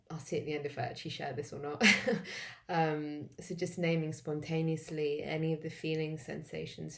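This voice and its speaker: An English woman who is wheezy and coughing, talking in a halting way with many fillers as she walks through a self-help tapping routine alone at home. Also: sits low, gravelly, darkish tone. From England. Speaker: vlogger demonstrating tapping. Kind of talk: casual self-help walkthrough.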